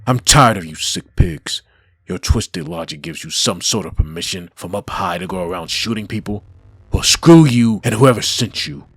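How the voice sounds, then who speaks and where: A man playing a brooding, wrathful undead demon antihero with a husky, blunt, menacing delivery in a comic dub. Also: low-pitched, lively intonation, normal speed, dry recording, heavily acted. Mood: furious. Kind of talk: dramatic comic dub acting.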